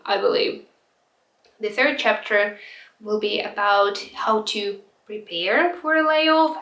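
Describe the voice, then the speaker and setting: Higher-voiced woman vlogger in a roomy echo. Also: a bit bright. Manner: frank, reflective, sometimes hesitant. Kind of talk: personal story vlog. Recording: roomy echo, distant from mic.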